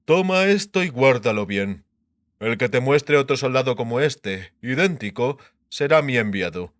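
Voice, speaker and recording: A narrator, a man, puts on a shrewd, commanding king in an audiobook. He speaks deliberately and with authority, at a medium pitch in a dry, close sound. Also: lively intonation, no echo, close to an everyday voice. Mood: commanding, confiding. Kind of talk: audiobook character dialogue.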